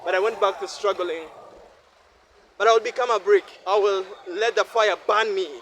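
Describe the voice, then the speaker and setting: Young ugandan guy, high voice, preaching at himself on a night walk, faint traffic behind. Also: fairly even intonation, moderate pace, fairly bright tone, poor audio. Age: 20s. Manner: repetitive, preachy, talks to himself in loops. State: sweaty after walking fast. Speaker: vlogger. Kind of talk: rambling night-walk monologue. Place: city street at night.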